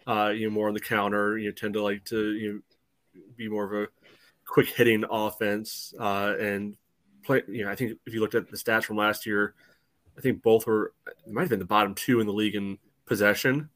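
A man's voice: fairly low pitch, level tone, normal speed, a bit bright, no echo. A man giving casual soccer analysis as a podcast panelist, in a conversational, hedging way.

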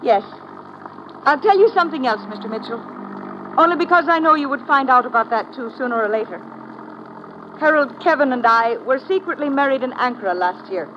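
An actress playing a young Turkish engineering student in an old radio drama, poised and knowing, with formal, deliberate phrasing. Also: female voice, sits high, lively intonation. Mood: knowing, confiding. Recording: muffled, hissy old radio recording.

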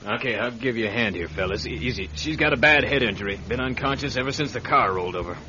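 An actor playing an impatient, commanding emergency responder in a radio drama, urgent and brusque. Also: male voice, medium pitch, fast talker, close to an everyday voice. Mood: urgent, careful. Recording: muffled vintage radio drama sound.

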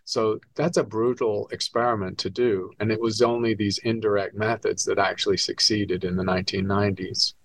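A man's voice: fairly low pitch, flat delivery, normal speed, hardly any pauses, a bit bright, dry recording. A man in his sixties, originally from Scotland, explaining things fluently as an academic guest in an interview. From born in Scotland, raised in England and the US. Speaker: astronomy professor, author, podcast guest.

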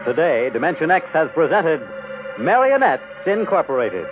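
Music behind, narrow old radio sound, American male announcer, slightly high voice, formal scripted read. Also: big pitch swings, moderate pace.

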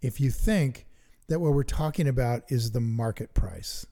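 Dark-voiced man explaining investing. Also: medium pitch, normal speed. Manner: enthusiastic, thinks out loud, works sums aloud. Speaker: investing podcast co-host. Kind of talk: casual teaching chat.

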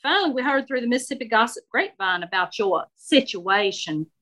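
A woman voicing a warm, nosy seventy-seven-year-old Southern lady with a Southern accent, talking with no filter. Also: a little fast. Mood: gossipy. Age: 70s. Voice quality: voice jumps high when excited. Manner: gushing, outspoken, no filter.

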